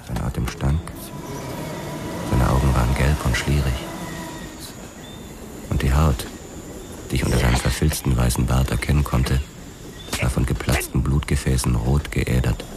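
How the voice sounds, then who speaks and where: A man's voice: extremely low pitch, flat delivery, moderate pace, pauses often, background noise. An actor playing a weary, hard-boiled policeman who narrates his own past in a crime radio drama. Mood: somber recollection. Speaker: first-person narrator. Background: music.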